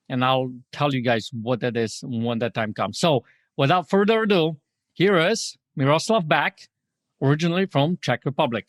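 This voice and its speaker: A man's voice: mid-range voice, no echo. A man hosting a podcast, talking in an enthusiastic, informal way with fillers and repeated phrases. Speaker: podcast host. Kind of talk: podcast interview.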